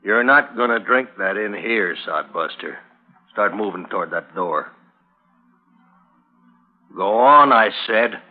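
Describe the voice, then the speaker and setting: Slow, sneering cowboy bully man. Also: on the low side, animated. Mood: menacing. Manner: drawn-out mocking delivery. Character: sneering, taunting cowhand bully in a saloon. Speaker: radio drama actor. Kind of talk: 1950s radio western acting. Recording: noisy, muffled old radio broadcast.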